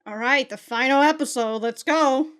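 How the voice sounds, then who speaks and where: Animated young woman reacting to anime. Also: high-pitched, normal speed, clean tone. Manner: animated, laughs often, swears. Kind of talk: casual reaction commentary. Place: small room at a desk, indoors.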